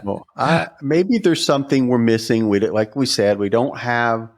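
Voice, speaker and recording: A man co-hosting a podcast, casually discussing a product in a halting way, as if working it out aloud. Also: medium pitch. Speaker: podcast co-host.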